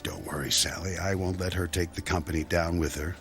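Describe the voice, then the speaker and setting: An actor playing a smooth, scheming husband who is glib, coaxing and sardonic, in a radio drama. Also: male voice, deep voice, steady tone, fairly quick, a bit bright, soft background music. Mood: sardonic, confiding.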